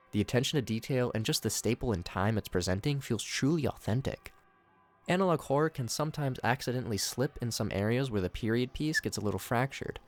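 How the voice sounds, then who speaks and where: A man hosting a video, reading scripted narration mixed with his own commentary. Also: lowish voice. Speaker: video host reviewing horror shorts.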